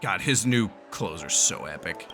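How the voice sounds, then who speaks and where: Guy doing jokey let's play reactions, super lively pitch, game music behind him. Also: a little slow. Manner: jokey, interjecting. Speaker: gaming YouTuber reacting to a story quest. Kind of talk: casual playful commentary over gameplay. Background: video game music.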